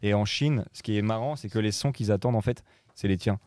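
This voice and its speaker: A man's voice: on the low side, fast, darkish tone, dry recording. A young man in his twenties, a guest DJ and producer who grew up in Morocco, chatting casually with many hesitations and fillers. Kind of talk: casual chat.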